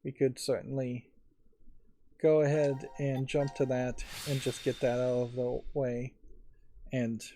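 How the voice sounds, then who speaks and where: Slow, mellow man musing over a game. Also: medium pitch, level tone, a little hiss or hum. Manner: musing, analytical. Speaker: let's play host. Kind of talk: casual game commentary.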